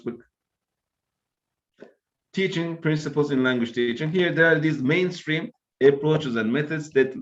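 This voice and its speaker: A man's voice: mid-range voice, muffled recording. A man from Turkey with a Turkish accent, giving an academic lecture and often checking in with "right?". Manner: explanatory, tags points with "right?".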